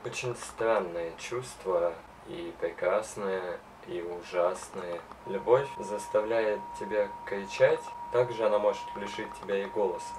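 A man in his twenties answering interview questions indoors, quiet and reflective. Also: on the low side, steady tone, measured pace, few pauses, far from the mic, crowd noise, low-quality recording. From Russia. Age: 20s. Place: indoors against a wall.

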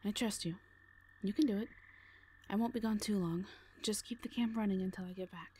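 A woman playing a calm, reassuring orc mercenary leader in an audio drama, speaking softly in measured, plain, short phrases. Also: mid-range voice, slight background noise, lightly acted. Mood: steady command. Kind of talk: audio roleplay drama acting.

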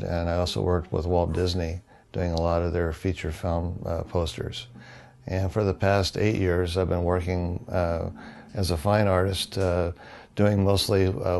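A man's voice: low-pitched, vocal fry, a little mellow. An older man, an artist, informally explaining his background and work in a studio. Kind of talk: informal self-introduction and explanation. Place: indoor studio.

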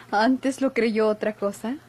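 A Mexican actress playing an inquisitive, gossipy hospital nurse in a classic radio soap, speaking formally and probingly. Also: female voice, fairly high pitch, steady tone, takes it slowly, a little mellow. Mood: curious. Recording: old noisy radio drama recording.

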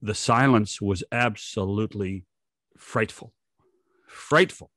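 Older male podcast co-host, North American accent, lowish voice, emphatic sports chat, close dry mic. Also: takes it slowly, fairly bright tone, no echo. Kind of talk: casual sports commentary. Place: home room on a video call. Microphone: desk microphone close up.

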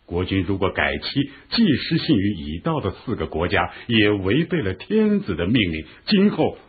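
An actor playing a shrewd, earnest chief minister in a historical radio drama, with a husky voice and formal, measured rhetoric. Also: male voice, lowish voice, very animated, heavily acted. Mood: earnest, persuasive. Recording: muffled phone-like radio drama recording.

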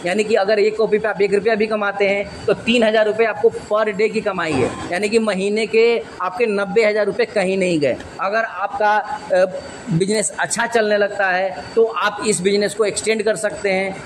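A young man pitching machinery to camera from a small workshop, explaining earnestly and repeating his points. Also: fairly even intonation, normal speed, a little hiss or hum. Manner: earnest, repetitive explaining, gestures with hands. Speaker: machine seller presenting.

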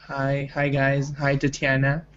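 Halting man from Italy, muffled call. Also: mid-range voice, completely flat delivery, a bit bright, a little hiss or hum. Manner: halting, searching for words. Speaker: student. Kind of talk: online class answers. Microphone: video call. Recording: muffled video call audio.

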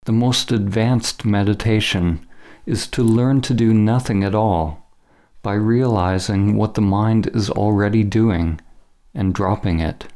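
A man narrating a scripted spiritual meditation as a teacher, in measured, deliberate phrases with short pauses. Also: on the low side, fairly even intonation, a little mellow.

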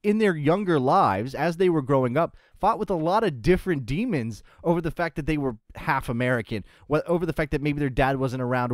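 A young man co-hosts a podcast from a small home room, speaking close into a studio mic. His voice sits a little high and the sound is completely dry. He argues emphatically, often restarting his sentences. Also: no echo. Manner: emphatic, argumentative, many restarts. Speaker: podcast co-host. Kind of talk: casual opinion chat on soccer. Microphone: studio mic on arm, headphones.

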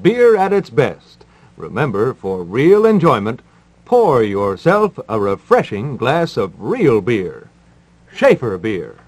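A man hosting and announcing in 1950s radio style, wry and formal, with deadpan delivery. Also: mid-range voice, slow pace, no echo. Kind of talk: 1950s radio patter, mock-serious advice and live ad reads.

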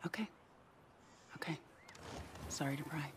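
A woman's voice: low voice, unhurried, noisy background. An actress playing a firm, caring middle-aged woman in charge, direct and natural, in a video game scene. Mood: firm, concerned.

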